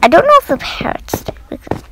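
A woman casually narrating her gameplay, rambling and halting as she thinks aloud. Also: heavy background noise. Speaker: gaming video host.

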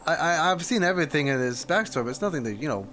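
A man's voice: on the high side, expressive, very fast. A man doing casual livestream commentary as he reacts to a game, exclamatory and jokey. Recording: game music behind the voice.

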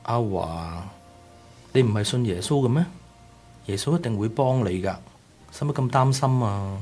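A man's voice: on the low side, lively intonation, moderate pace, darkish tone, lightly acted. Guy acting a tightfisted middle-aged hong kong cantonese boss, curt and snippy, radio play with music under it. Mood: mocking. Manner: brusque, dismissive, stammers when frightened. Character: stingy, sharp-tongued middle-aged male boss. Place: small padded radio studio.

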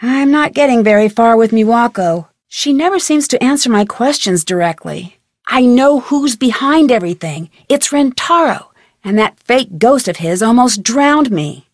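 An actress playing a curious, level-headed young female detective in an adventure game, speaking in an earnest, composed, clearly enunciated way. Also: a little high, expressive, normal speed, no room sound, clean studio sound. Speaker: voice actor, game protagonist. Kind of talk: scripted adventure game dialogue.

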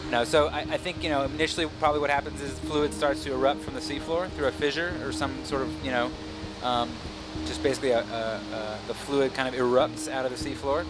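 A man working as a scientist gives casual live commentary on a dive, explaining things in halting, thinking-aloud phrases. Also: mid-range voice, steady tone, over loud music, rough recording. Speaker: expedition scientist.

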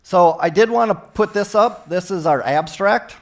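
Middle-aged American man, fairly high voice, conference keynote, chatty and relaxed. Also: normal speed. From Minnesota, United States. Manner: friendly, conversational, with restarts. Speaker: conference speaker. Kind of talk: technical conference talk. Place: large conference hall stage.

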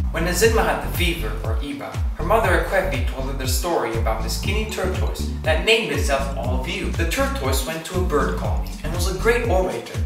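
A teenage boy whose first language is Polish, presenting a school book report with playful asides, recorded in a small room on a camera mic. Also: a little high. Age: teens. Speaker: student. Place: small indoor room, plain wall. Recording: echoey room, distant mic, music behind.

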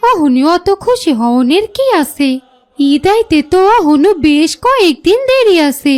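A woman playing a gentle, caring village mother in a cartoon, speaking rural dialect with a Bangladeshi accent. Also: very high-pitched, sing-song, a little slow, soft background music, full character voice. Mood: fond chiding. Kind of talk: cartoon voice acting.

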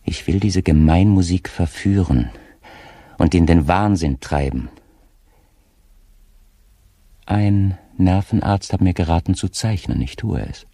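An actor playing a tormented, guilt-ridden official slipping into madness, reading his diary in a brooding, deliberate way in an audio drama. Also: sits low, mellow, no room sound. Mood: haunted, anguished.

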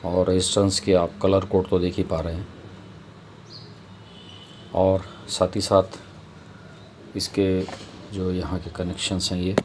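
A man presents an informal repair tutorial in a low voice. His delivery is flat and even, moving step by step as he addresses viewers. The home-made recording has some noise behind him. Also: a little slow, long pauses.

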